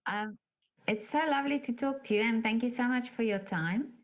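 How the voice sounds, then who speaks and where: A woman's voice: a little high, normal speed, lo-fi sound. A woman interviewing a guest over a video call, effusive and complimentary, with many fillers.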